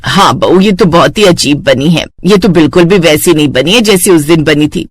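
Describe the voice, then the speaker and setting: A woman's voice: low voice, moderate pace. Woman doing a bossy middle-aged cartoon mother-in-law. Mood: disappointed.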